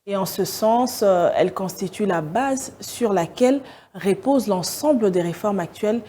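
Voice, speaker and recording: A woman from the Democratic Republic of the Congo gives formal, explanatory interview answers with a few hesitant fillers. Her voice is warm and mellow, and a faint noise runs underneath. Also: mid-range voice, animated, moderate pace. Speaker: interviewee, education sector official.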